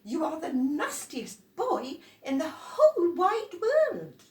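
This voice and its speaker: A woman voicing a loud, exasperated grown-up from a storybook, scolding with emphatic exclamations. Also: huge pitch swings, heavily acted. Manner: shrill, emphatic, drawn-out exclamations. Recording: roomy echo, distant from microphone.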